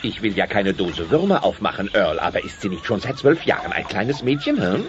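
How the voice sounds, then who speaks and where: A brash, leering dinosaur pal in a cartoon audio play. His low voice swoops wildly as he meddles cheekily. The old recording is dull and noisy. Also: very animated, a little fast. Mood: hedging. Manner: cheeky, exclaiming, swoops in pitch. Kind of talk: German children's audio drama acting. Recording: dull, noisy cassette transfer.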